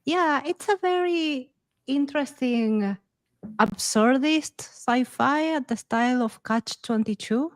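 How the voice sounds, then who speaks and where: A woman's voice: sits high, slow pace, dry recording. A woman speaking casually as an author being interviewed. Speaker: author and podcaster, interview guest.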